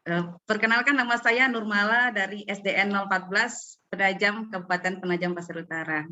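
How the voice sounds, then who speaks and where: A woman's voice: mid-range voice, fairly even intonation, brisk, sharp tone. A woman teacher and guest on a webinar, giving polite, short answers. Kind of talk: brief replies in a webinar. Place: video call. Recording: dull lo-fi call audio with faint noise.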